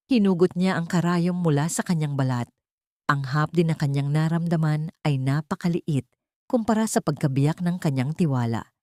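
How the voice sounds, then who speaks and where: A woman narrating a scripted dramatic story in a measured storytelling manner. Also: low voice, a little mellow, dry recording, clean studio sound.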